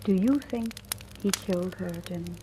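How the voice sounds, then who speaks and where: A woman's voice: on the low side, normal speed, noisy background, close to an everyday voice. An actress playing a guarded, probing woman telling her suspense story in old-time radio drama style. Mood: gentle, guarded. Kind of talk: 1940s-50s radio drama acting. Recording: old radio recording, music behind.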